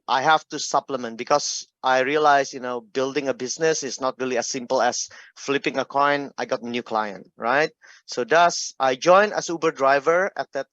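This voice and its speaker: A middle-aged man from Indonesia with an Indonesian accent, telling his personal story in an informal, halting, conversational talk. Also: mid-range voice, fairly bright tone, dry recording, dull audio. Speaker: speaker giving a personal health testimony.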